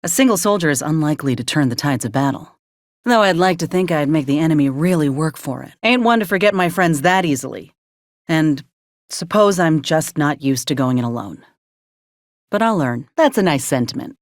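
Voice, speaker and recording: An actress playing a wounded but loyal frontier ex-soldier in a video game, guarded and wry, with a folksy, plainspoken, dry manner. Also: female voice, low voice, expressive, moderate pace, no room sound. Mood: resolute, wry. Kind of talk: video game character dialogue.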